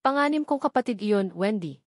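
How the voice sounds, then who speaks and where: A woman's voice: mid-range voice, lively intonation, a little fast, no echo, studio quality, close to an everyday voice. A woman voicing an anxious, bashful young female fairy in a story reading. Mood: worried. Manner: fretful, bashful.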